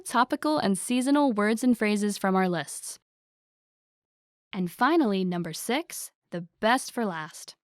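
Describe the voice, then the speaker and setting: A woman's voice: a little high, no echo, clean studio sound. A woman reading a scripted promotional voice-over in an upbeat, salesy way. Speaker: ad announcer.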